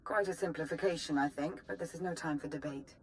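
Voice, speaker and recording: A shrewd female Sith strategist from a video game , voiced by a woman. She sounds poised and formal, measured in her delivery with a wry edge. A little noise sits in the background. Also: deep voice, close to an everyday voice. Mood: measured, grave. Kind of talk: dramatic video game dialogue.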